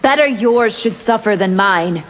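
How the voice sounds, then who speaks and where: A woman's voice: medium pitch, animated, measured pace, lightly acted. Woman playing a disdainful vampire in a reverberant hall. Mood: cold defiant. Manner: taunting, disdainful. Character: cold, scheming female winged vampire warrior. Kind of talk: video game character dialogue. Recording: long reverb, loud music behind.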